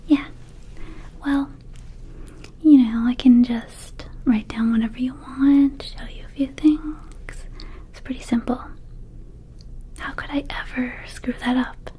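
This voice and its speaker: A woman voicing a friendly, scatterbrained young vampire shop clerk in a soft breathy whisper, rambling casually and hesitantly in an ASMR roleplay. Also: high-pitched, level tone, slow pace, pauses often, slight background noise. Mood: airy, self-assured. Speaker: ASMR performer.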